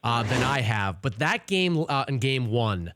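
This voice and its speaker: A man's voice: mid-range voice, very animated, measured pace, a bit bright, no echo. A man hosting a sports radio show, putting questions and comments to a guest in a conversational interview style.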